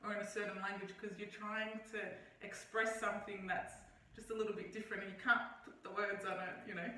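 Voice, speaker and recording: Bright woman, echoey distant mic. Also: mid-range voice, flat delivery, fairly quick. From Australia. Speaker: guest. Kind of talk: casual conversational interview.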